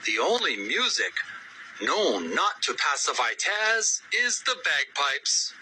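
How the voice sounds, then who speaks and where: Bright, lively synthetic male narrator. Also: fairly high pitch, slow pace, a little hiss or hum, rough recording. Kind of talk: scripted encyclopedic narration.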